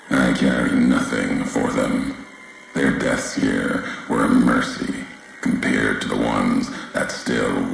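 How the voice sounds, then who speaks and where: A male actor playing a brooding, embittered courier and former soldier in a video game, speaking solemnly and cryptically in weighty, deliberate phrases with heavy pauses. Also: full character voice. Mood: grim, resolute. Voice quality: very deep, gravelly. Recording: roomy echo, faint background noise.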